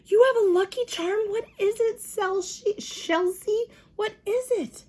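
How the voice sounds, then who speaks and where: Woman as spoiled rich girl: shrill, whiny, bragging. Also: sing-song, unhurried, a bit bright, exaggerated voice. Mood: anxious.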